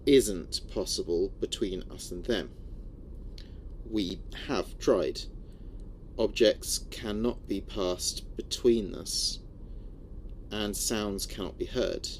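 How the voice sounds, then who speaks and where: A man playing a weary, frightened spaceship crewman recording a log in a sci-fi horror audio drama, speaking gravely in halting, broken phrases with dramatic pauses. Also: fairly low pitch, a little mellow, slight background noise.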